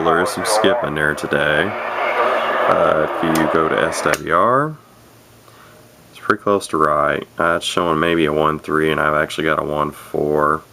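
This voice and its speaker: A man's voice: extremely low pitch, fairly even intonation, unhurried, gravelly. A man casually narrating his own hands-on radio repair video in a rambling, folksy way, often correcting himself as he goes. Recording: noisy handheld camera home video.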